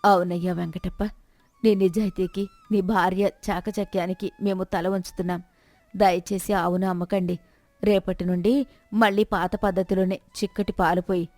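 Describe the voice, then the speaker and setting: A woman's voice: mid-range voice, level tone, normal speed, darkish tone, no room sound, soft background music, voice pushed down, natural voice. A woman voicing contrite, pleading villagers in an earnest manner for a story.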